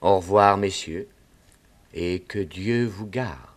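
A man playing a polite, cautious reverend in a French radio play, speaking gently and piously. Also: low-pitched, slow pace. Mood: courteous. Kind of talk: old French radio drama acting.

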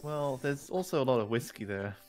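Man, casual co-player, dry jokes, relaxed, middle pitch. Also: fairly quick, faint music behind. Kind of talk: casual gaming chat.

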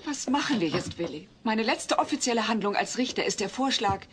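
A woman's voice: fairly high pitch, animated, brisk, close to an everyday voice. An actress playing a tactful, kindly middle-aged mother in a German audio play, with background noise.

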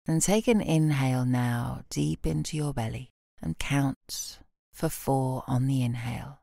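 British woman, meditation guide, very slow delivery, dark mellow tone, soft, calm, soothing, long pauses. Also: a bass voice, vocal fry, dry recording, studio quality. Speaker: yoga nidra meditation guide.

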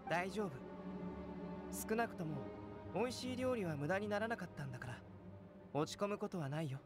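Young scholar for fantasy game. High male voice, composed, polite, analytical, faint music behind. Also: expressive, moderate pace. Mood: gentle, detached. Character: young male alchemist, calm, curious, detached scholar. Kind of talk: anime video game acting.

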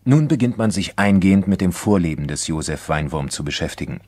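Low, dry male crime narrator. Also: expressive, darkish tone, no room sound. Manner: formal, measured. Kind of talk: scripted documentary crime narration.

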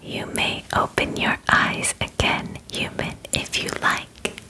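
A woman in her twenties playing a calm, clinical alien examiner in an ASMR roleplay, speaking formally and methodically close to the microphone. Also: close to an everyday voice, poor audio. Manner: slow, formal, gently methodical, precise wording. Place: small bedroom, indoors. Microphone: close microphone in front of camera.

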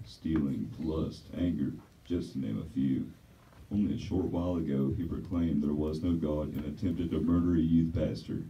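An actor on a church stage playing a sinister, gloating demonic prosecutor with a deep, gravelly voice. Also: male voice, warm tone. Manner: venomous, gloating. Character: sinister male accuser of souls, demonic prosecutor. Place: church stage set, indoors. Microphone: distant camera mic. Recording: roomy, dull, from far off.

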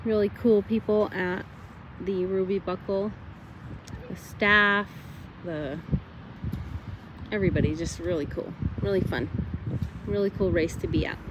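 A woman's voice: medium pitch, steady tone, measured pace, long pauses, lo-fi sound, noisy background. A middle-aged woman talking casually to viewers in a vlog, plain-spoken and informal, recorded on a handheld camera. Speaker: horse trainer and barrel racer hosting a ranch vlog. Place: changing vlog spots: fields, parking lot, vehicle, tack room. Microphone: handheld camera or phone at arm's length.

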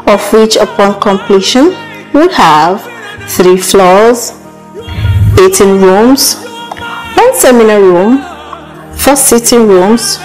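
Female documentary narrator, slow and mellow, music bed underneath. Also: mid-range voice, poor audio.

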